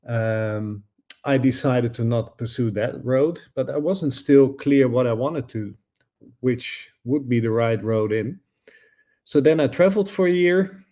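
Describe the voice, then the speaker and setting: Dutch-accented professor, mellow and thoughtful. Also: male voice, medium pitch. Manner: thoughtful, searching for words with frequent fillers and restarts. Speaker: guest, professor and author. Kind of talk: conversational interview answers.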